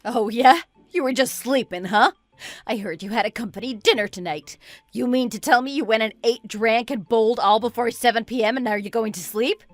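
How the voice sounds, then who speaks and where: A woman plays a betrayed wife in an audio drama, furious and scornful. Her voice sits on the high side, sarcastic and accusing, recorded dry over faint music. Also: expressive, measured pace, fairly bright tone, no room sound. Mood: sarcastic, angry. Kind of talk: dramatized audio story acting.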